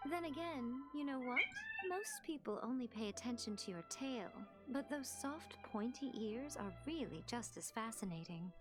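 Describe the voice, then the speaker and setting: A woman voicing a sly, teasing shrine priestess in a video game, coy, composed and gently mocking. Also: a little high, expressive, normal speed, few pauses, natural voice. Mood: sly, amused. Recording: game audio with clear background music.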